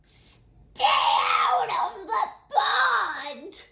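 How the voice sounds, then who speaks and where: A woman voicing a quarrelsome, possessive male frog in a storybook, with defiant, drawn-out shouts. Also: very high voice, big pitch swings, vocal fry, echoey room, voice pushed up, heavily acted. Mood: angry yelling.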